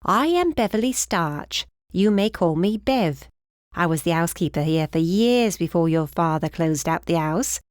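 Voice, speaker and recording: A woman's voice: on the low side, lively intonation, normal speed, no room sound, studio quality, lightly acted. A woman voicing a short, plump, cheerful older housekeeper with a British accent and a rambling, effusive, fussy manner in an audiobook. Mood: chatty, eager.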